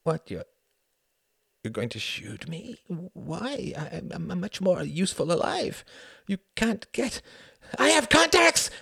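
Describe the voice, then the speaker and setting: A man's voice: high voice, sing-song, fairly quick, gravelly, hardly any pauses, fairly bright tone, breathy edge, no room sound, clean studio sound, pitched above the performer's own voice, full character voice. A man voicing an indignant, pleading German count in an audiobook, with spluttering, stammering protests. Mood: terrified, pleading. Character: indignant, pleading German count, cowed aristocrat and war veteran. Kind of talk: audiobook character acting.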